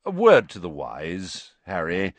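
A jovial, pompous celebrity teacher, acted by a man, booming and pleased with himself. His pitch swings broadly with patronising flourish, in a dry audiobook recording. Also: big pitch swings, unhurried, a bit bright, dry recording. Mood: paternal, smug. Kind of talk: audiobook character voice.

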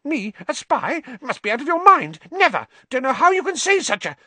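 A man voicing a cowering middle-aged male wizard with a shrill, squealing voice and a panicky, pleading manner. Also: sing-song, moderate pace, sharp tone, no echo, exaggerated voice. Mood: panicked.

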